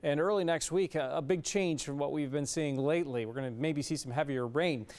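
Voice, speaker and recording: A man's voice: a little high, fairly quick, darkish tone. A man with an American accent giving an off-the-cuff weather forecast as a TV meteorologist.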